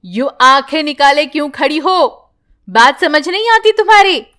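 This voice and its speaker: A woman's voice: high-pitched, animated, a little slow, bright, forceful tone, voice pushed up, exaggerated voice. A woman voicing a grieving young mother who scolds harshly but breaks down crying in prayer. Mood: scolding. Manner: scolding, then sobbing prayer.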